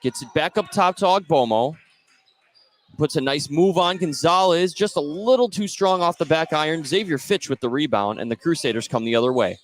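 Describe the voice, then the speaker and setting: A man commentates a basketball game live for radio. His voice sits a little higher than average for a male, and he tracks the action in short, play-by-play phrases. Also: animated, normal speed.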